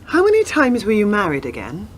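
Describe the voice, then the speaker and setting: A woman in a radio play acting a persistent, earnest police detective who lays out facts deliberately. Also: medium pitch, wildly swooping pitch, slight background noise. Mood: pointed.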